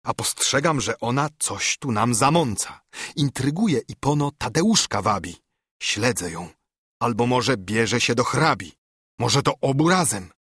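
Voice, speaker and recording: A man acts out a brooding monk in a storybook told in verse. He is earnest and urgent, admonishing as he reasons. His voice has a husky edge in a dry recording. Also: mid-range voice, lively intonation, unhurried, a bit bright, no room sound. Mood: concerned. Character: brooding, urgent monk, scolding and pleading with a friend. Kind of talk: dramatised audiobook reading of verse.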